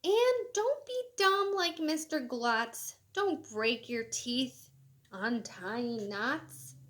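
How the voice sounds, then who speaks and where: A woman reading a children's picture book aloud in an expressive, sing-song way, with short asides to young listeners. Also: sits high. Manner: expressive, sing-song, deliberate storybook delivery. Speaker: elementary school educator reading aloud.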